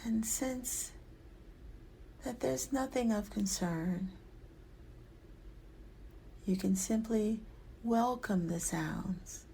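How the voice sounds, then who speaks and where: A woman leading a guided yoga nidra meditation in a soft, soothing, hushed voice that often trails into a breathy whisper. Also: fairly high pitch, drawn-out words, long pauses, slight background noise.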